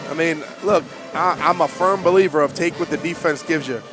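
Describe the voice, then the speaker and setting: A man with a stuffy, nasal voice gives coach-like analysis of a high school football game. His voice sits a bit high for a man, and faint music plays behind the booth. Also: a little fast. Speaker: color commentator.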